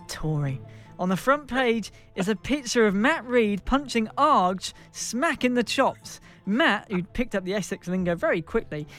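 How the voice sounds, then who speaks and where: A man from England narrates a comic football adventure as its game master, his voice pitched high and a little hoarse. He builds each twist with mock drama and lands the punchlines hard. Faint music sits underneath. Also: a bit bright. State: slightly hoarse. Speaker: podcast co-host and game master.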